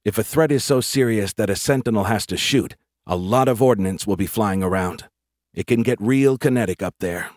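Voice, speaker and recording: A man's voice: lowish voice, moderate pace, darkish tone, no echo, lightly acted. A blunt woman soldier, read by a male narrator, practical and short on patience. She bosses people in a close, dry audiobook recording. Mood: lecturing. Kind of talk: audiobook character voice.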